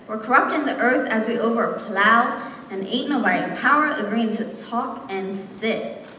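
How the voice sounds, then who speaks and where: A woman's voice: fairly high pitch, pushed and bright. A young woman reciting slam poetry with an impassioned, rhythmic, emphatic delivery. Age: young adult. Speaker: slam poet. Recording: echoey hall, distant mic, faint noise.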